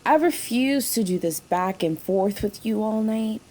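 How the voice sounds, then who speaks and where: A woman's voice: medium pitch, animated, a little slow, a little mellow, close to an everyday voice. A woman playing a sassy, impatient late-night diner waitress in an audio drama, with a brusque manner. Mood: brusque, businesslike.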